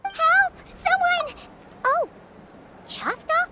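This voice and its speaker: A woman voicing a tiny childlike fairy companion from a game, excitable and dramatic, talking in the third person. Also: very high-pitched, very slow, heavily acted. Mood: alarmed. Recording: very noisy game audio with sound effects.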